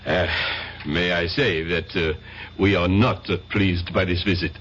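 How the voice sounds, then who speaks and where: An actor playing a polite French police inspector with a French accent, who speaks haltingly with many hesitations, in a 1970s radio drama. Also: male voice, low voice, steady tone, a bit bright, a little hiss or hum. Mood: guarded. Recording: dull lo-fi old radio broadcast.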